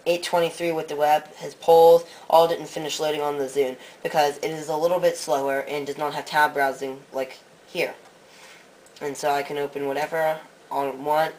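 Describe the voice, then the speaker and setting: A man's voice: on the high side, little pitch movement, moderate pace, a little mellow, rough recording. A man co-hosting a tech comparison, casually talking through a device demo. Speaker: co-host, tech reviewer. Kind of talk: casual gadget review demo.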